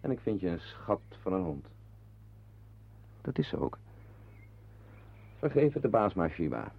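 A man's voice: deep voice, big pitch swings, unhurried, vocal fry, husky, exaggerated voice. An actor playing a wry, brooding henpecked husband in a Dutch radio play, confiding his musings to the listener. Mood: coaxing. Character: wry, brooding henpecked husband, animal-loving former artist. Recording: muffled, band-limited old radio play recording.

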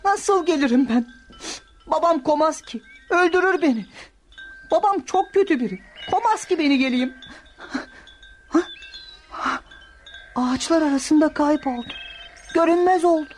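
A woman playing an eager, dreamy young shepherd boy in Turkish radio theatre, excitable and pleading. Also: sits high, normal speed, pauses often, natural voice. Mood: anxious wonder. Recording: noisy radio drama recording.

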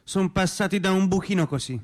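An actor playing an aggrieved, bumbling provincial man, halting and repetitive, in comic radio theatre. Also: high voice, close to an everyday voice.

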